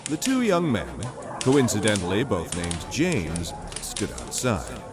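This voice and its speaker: A man's voice: lowish voice, wildly swooping pitch, takes it slowly, crowd noise, exaggerated voice. A man delivering scripted, dramatic narration as the narrator of an audio drama.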